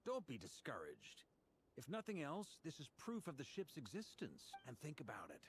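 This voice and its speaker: Game knight commander: good-humoured, animated, slightly high for a man, encouraging. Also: moderate pace, a little hiss or hum. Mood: reassuring. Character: jovial adult male knight grandmaster, playful and encouraging. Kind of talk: video game voice acting.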